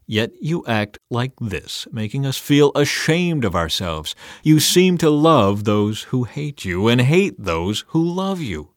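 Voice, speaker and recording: Man voicing a blunt, forceful army commander. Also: fairly low pitch, no room sound, studio quality, natural voice. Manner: forceful, insistent. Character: stern, blunt military commander, adult man. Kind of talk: dramatized scripture audiobook.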